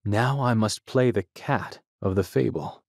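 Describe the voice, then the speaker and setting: An actor playing a determined, hunted big-game hunter under strain in an audio drama, tense and resolute. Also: male voice, on the low side, unhurried, gravelly, darkish tone, dry recording, studio quality. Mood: grimly determined.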